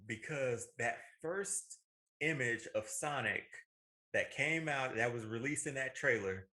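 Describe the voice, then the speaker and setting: Bright-voiced man chatting about films. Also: medium pitch. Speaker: podcast co-host. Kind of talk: casual opinionated chat about films.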